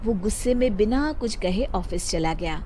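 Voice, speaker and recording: Calm woman narrating a cartoon story. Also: medium pitch, normal speed, background noise.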